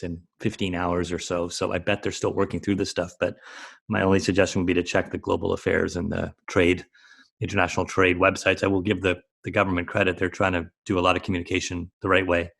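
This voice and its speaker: A man giving unscripted advisory commentary as a panelist, in a halting, reflective way. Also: low-pitched, flat delivery, vocal fry, fairly bright tone. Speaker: expert panelist on a conference call.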